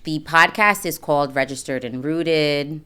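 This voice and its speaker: A woman with a strikingly deep, smooth voice talks as a podcast co-host. She is conversational and earnest, speaking to listeners in an encouraging way with a clean, resonant tone. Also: measured pace, pushed and bright. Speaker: podcast co-host, registered nurse. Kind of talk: casual conversational podcast talk.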